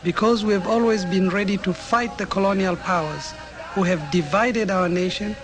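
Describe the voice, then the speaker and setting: A man narrating a documentary in an earnest, measured scripted delivery. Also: high voice.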